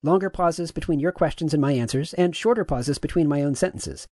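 A man reading the lines of a courteous, gender-neutral AI chatbot, with his voice pitch-shifted upward. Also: brisk, warm tone, no echo, studio quality. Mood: polite, agreeable. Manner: courteous, affirming, asks follow-up questions. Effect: pitch raised.

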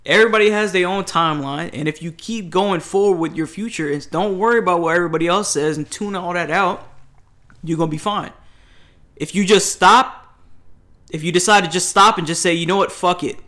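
Young man, late twenties, emphatic advice, rambling restarts, bright forceful voice. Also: high voice, brisk. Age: 20s. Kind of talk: casual chat.

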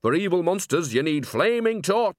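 A man's voice: high voice, big pitch swings, moderate pace, no room sound, studio quality, voice pushed up. A man voicing a nosy, cheerful old woman witch who talks in a chatty, blunt, busybody way.

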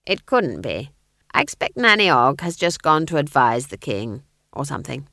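A woman's voice: rumbling low, very animated, moderate pace, bright, forceful tone, no echo, studio quality, exaggerated voice. A woman reading aloud, voicing a stern, proud old woman witch who speaks firmly. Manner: clipped and firm.

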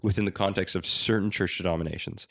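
A man co-hosting a casual faith podcast, assertive and quick to affirm the others. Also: sits low, steady tone, fairly bright tone. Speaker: podcast co-host. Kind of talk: casual discussion.

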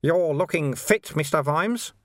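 A man doing a higher-pitched, wily old monk. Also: lively intonation, a little slow, no room sound, studio quality. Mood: cheerful, matter-of-fact. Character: cheery, wily, skinny old bald monk posing as a sweeper.

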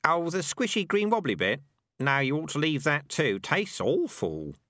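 A man's voice: a little high, sing-song, normal speed, a bit bright, no echo, studio quality, voice pushed up. A man voicing a blunt, skeptical rat in an audiobook, with a grumbling, colloquial manner. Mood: matter-of-fact.

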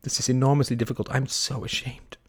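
A man playing a playful, teasing young gentleman with a theatrical, grandiose manner. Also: mid-range voice, flat delivery, slightly rough, voice pushed up, lightly acted. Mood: mock grave. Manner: dramatic, halting stammer and grandiose phrasing.

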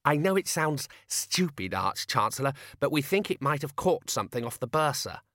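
A man's voice: medium pitch, very animated, normal speed, pushed and bright, dry recording, studio quality, voice pushed up. A man voicing an earnest, fussy young male wizard scholar who talks in a precise, weary, correcting way.

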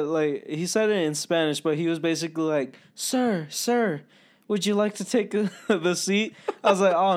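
A man's voice: high-pitched, a little mellow. A man in his twenties chatting casually on a podcast couch, telling stories and laughing often. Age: 20s. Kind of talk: casual chat.

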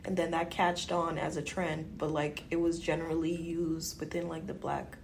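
Creaky-voiced woman in casual chat. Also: sits low, little pitch movement, normal speed, slight background noise. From Guyana. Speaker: podcast co-host.

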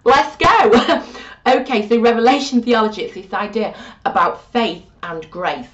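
Woman teacher rambling thru a lesson, bit croaky, muffled roomy sound. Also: a little high, unhurried, far from the mic. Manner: chatty, animated, self-deprecating asides. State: getting croaky. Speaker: religious studies teacher. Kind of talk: informal video lesson.

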